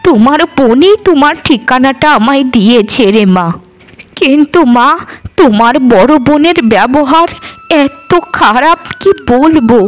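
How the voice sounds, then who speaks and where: A woman voicing an old village granny in a cartoon, grateful yet aggrieved, lamenting and giving blessings. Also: high voice. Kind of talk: Bengali cartoon dialogue.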